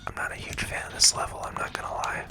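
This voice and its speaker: A man giving soft, unhurried ASMR commentary on a video game in a breathy whisper. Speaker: gaming video host. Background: video game music.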